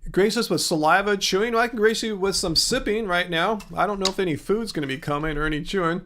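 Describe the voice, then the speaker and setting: High-voiced chatty man livestreaming. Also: fast, fairly bright tone. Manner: chatty, rambling, many fillers and restarts. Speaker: livestream host answering chat. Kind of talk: casual livestream chat reading comments.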